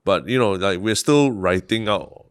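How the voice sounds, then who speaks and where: A man's voice: lowish voice, moderate pace, clear, resonant tone, dry recording. A young man with a Singaporean English accent, co-hosting a podcast in a rambling, casual way with many restarts. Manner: rambling, frequent restarts and "lah" endings. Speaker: podcast co-host. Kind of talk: casual chat.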